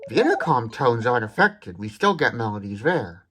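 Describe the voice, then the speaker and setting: A man's voice: medium pitch, sing-song, normal speed, pushed and bright, a little hiss or hum. A man giving an explanatory product demonstration in a methodical, precise way, stressing key words. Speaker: tech demonstrator.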